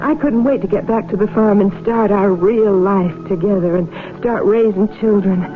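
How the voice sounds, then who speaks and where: An actress playing a weary, guarded young waitress and farm wife in a wistful 1970s radio drama. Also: female voice, mid-range voice, moderate pace, natural voice. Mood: wistful, confessional. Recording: tinny 1970s radio broadcast, music behind.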